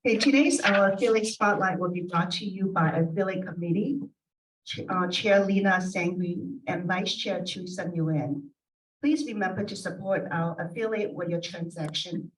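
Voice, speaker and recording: A woman's voice: lowish voice, normal speed, slight background noise. A woman emceeing an association meeting, reading announcements to the audience and sometimes stumbling over names. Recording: voice heard from a distance.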